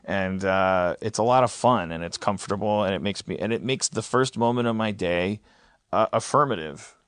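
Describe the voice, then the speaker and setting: A man doing a conversational, comedic sponsor read, improvising it in halting phrases. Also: lowish voice, fairly bright tone, clean tone, dry recording. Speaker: show host in sponsor read.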